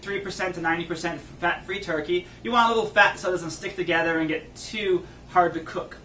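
A man's voice: sits high, brisk, pushed and bright, slight background noise. A man in his thirties hosting a comedic cooking show, upbeat and agreeable, often laughing. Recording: echoey room, distant mic.

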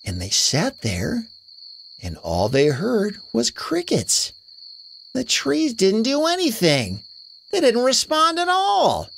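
A woman narrating a children's story in an expressive, conversational, casual way. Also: a little high, slow pace, vocal fry, a little hiss or hum.

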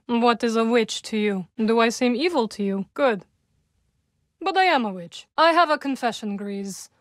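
A woman playing a solemn, guarded young witch in a video game, with dry wit and measured, formal phrasing. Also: a little high, no echo, natural voice. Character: young adult witch, solemn, guarded, dryly wry rebel. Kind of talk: video game character dialogue.

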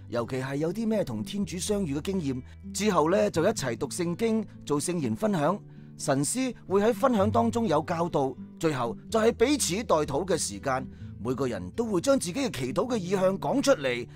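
An actor playing a jovial, welcoming man who organises a prayer group, in a Hong Kong Cantonese radio drama. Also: high-pitched.

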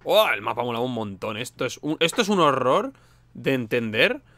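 A man's voice: mid-range voice, animated, normal speed, fairly bright tone, no room sound. A man with an accent from Spain gives casual gameplay commentary, informal and sweary, often muttering to himself. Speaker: let's play host.

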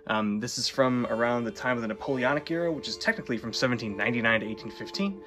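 An easygoing man talks through a book review in a mid-pitched voice, rambling a little and filling pauses with ums and likes. Faint music plays quietly underneath him. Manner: relaxed, lots of ums and likes, small laughs. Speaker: book reviewer and swordsmanship instructor. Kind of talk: casual spoken book review.

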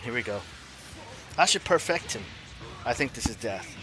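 A man in his forties commenting on his own online fighting game matches, swinging from low mutters to loud yells, laughing and cursing at himself and his opponent. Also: lively intonation, normal speed, soft background music. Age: 40s. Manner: profane, self-mocking trash talk. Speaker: gaming YouTuber. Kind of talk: live gameplay commentary.

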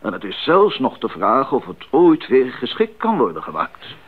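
Lively, formal older missionary doctor. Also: male voice, medium pitch, moderate pace, a little hiss or hum. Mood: earnest, concerned. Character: older missionary doctor, earnest, genial, experienced mentor. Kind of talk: 1980s radio drama acting. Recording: muffled band-limited 1980s radio recording.

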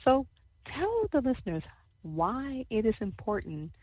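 A woman co-host with a soft voice interviewing a guest, affirming and churchy in her talk. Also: on the high side, big pitch swings, measured pace, dry recording, narrow, tinny audio. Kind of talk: faith-based interview.

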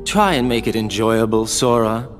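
An actor voicing a scheming young man who talks in a smooth, sly, teasing way. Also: fairly low pitch, moderate pace, lightly acted. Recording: game cutscene audio with music.

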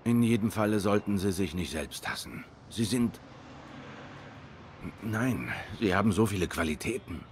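Man as hardboiled cat detective, low-pitched voice, brooding, restrained, measured, noir video game. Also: lively intonation, slight background noise, lightly acted. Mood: earnest, restrained. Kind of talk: noir game dialogue acting.

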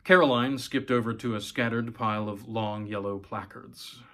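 A man's voice: lowish voice, steady tone, normal speed, sharp tone. A man in his forties reading a short story aloud as scripted narration. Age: 40s. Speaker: story reader, host. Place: small room at home.

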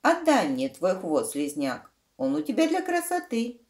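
A woman as a pestering little fly, pitched up. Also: wildly swooping pitch, measured pace, a little mellow, full character voice. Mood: demanding. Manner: insistent, begging. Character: pestering, demanding little housefly.

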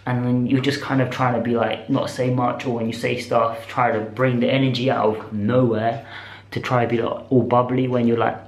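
A British man in his twenties talking casually to camera in a rambling, slangy vlog style, speaking in animated bursts. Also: mid-range voice, normal speed. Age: 20s. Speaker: vlogger. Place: small indoor room with bare walls. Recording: echoey, roomy sound.

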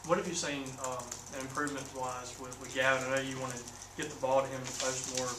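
A man's voice: mid-range voice, fast talker. A man working as a sports reporter, asking questions at a press conference and laying out the context before he asks. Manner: even-toned, lays out context before asking. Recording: distant, roomy and noisy.

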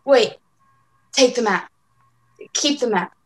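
A woman's voice: fairly high pitch, takes it slowly. Woman as desperate old pirate captain. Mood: desperate, failing. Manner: gruff sailor dialect. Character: ailing, desperate old pirate captain, rum-loving man. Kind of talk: amateur radio play acting.

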